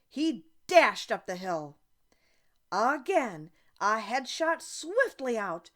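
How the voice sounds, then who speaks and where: A woman narrates a children's audiobook in a fairly high voice. Her delivery is expressive and deliberate, with lively intonation and slow, careful phrasing. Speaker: audiobook reader. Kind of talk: scripted children's story narration.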